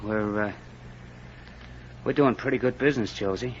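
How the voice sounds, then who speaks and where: An actor playing a weak, resentful, hard-drinking husband in an old-time radio crime drama, defensive and hesitant. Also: male voice, lowish voice, normal speed, lightly acted. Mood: meek. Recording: dull, slightly noisy old radio recording.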